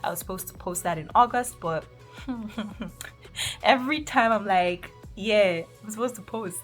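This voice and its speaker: A Nigerian woman in her twenties, a medical student, telling a casual vlog story with animated, exasperated asides and frequent laughs. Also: medium pitch.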